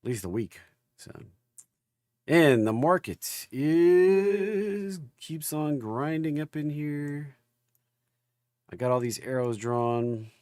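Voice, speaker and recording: A man with a gravelly voice hosting a market livestream in a loose, folksy, informal chat style while getting over an illness. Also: fairly high pitch, lively intonation, slow, pauses often, a little mellow, dry recording, studio quality. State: recovering from an illness. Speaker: host of a stock market livestream.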